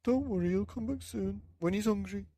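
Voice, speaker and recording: A man's voice: sits high, brisk, mellow, no room sound, pitched above the performer's own voice. A man voicing a very old man in a children's storybook, kindly, reassuring and easily startled.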